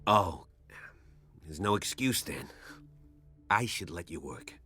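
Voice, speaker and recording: A very deep-voiced troubled man. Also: expressive, slow pace, bright, forceful tone, exaggerated voice. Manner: hesitant, brooding. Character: troubled, sleepless, self-conscious male patient. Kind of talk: video game cutscene acting.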